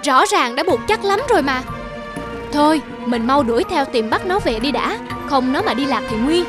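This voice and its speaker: A woman's voice: sits high, animated, normal speed, music in the background. A woman voicing a scolding, exasperated peasant wife in a folktale cartoon, nagging and sarcastic. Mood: worried, nagging.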